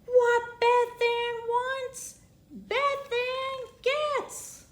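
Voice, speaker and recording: A woman voicing a greedy, mean little girl in a children's storybook, bossy and smug, with bellowing, drawn-out gloating. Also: extremely high pitch, flat delivery, voice pushed up, heavily acted. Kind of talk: children's storybook read-aloud. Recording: echoey room.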